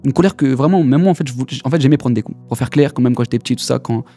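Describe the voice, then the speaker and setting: Fast-talking young Parisian man. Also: mid-range voice, warm tone, soft background music. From Paris region, France. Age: 20s. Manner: fast, slangy, repeats words. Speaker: guest giving a testimony. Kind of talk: casual testimony.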